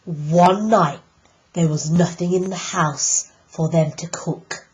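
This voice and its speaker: A woman teacher reading a picture book aloud, slow and deliberate with emphatic pauses. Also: on the low side, fairly even intonation, dull audio. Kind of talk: storybook read-aloud.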